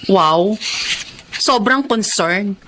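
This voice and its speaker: A woman's voice: mid-range voice, lively intonation, drawn-out words, background noise. A Filipino woman playing a jealous, bossy, hot-tempered girlfriend in a radio drama, haughty and scolding. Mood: jealous, sarcastic.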